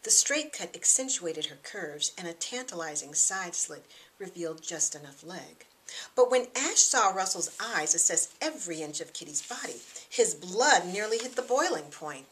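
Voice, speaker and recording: A middle-aged woman reading her own novel aloud in expressive scripted narration. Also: fairly low pitch, normal speed, poor audio.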